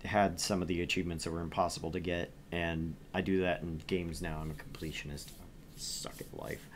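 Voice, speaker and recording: A man's voice: low voice, little pitch movement, brisk, a little hiss or hum. A man streaming a game, chatting informally and jokingly as he plays and reads along. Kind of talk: casual livestream chat while playing and reading a game.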